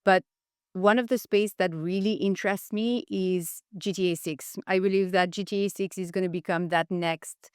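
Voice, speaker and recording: A woman answers interview questions in a conversational, explanatory way. Her voice sits slightly low and sounds clean and resonant, with very little room sound. She comes across as an enthusiastic executive guest. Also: fairly even intonation, normal speed, a little mellow, clear, resonant tone, dry recording, studio quality. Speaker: podcast guest, marketing executive.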